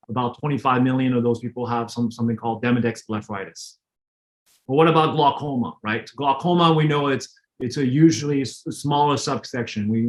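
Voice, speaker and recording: A middle-aged man from France, with no trace of a French accent, giving a relaxed online lecture, full of fillers and restarts. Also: mid-range voice. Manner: frequent fillers and self-restarts, conversational. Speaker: optometrist lecturer. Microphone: online webinar.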